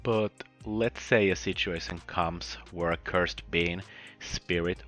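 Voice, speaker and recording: A very low-voiced man narrates a scripted book review. His tone is bright and forceful, and his delivery is wry and joking, with frequent chuckles. Faint music plays underneath. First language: Finnish.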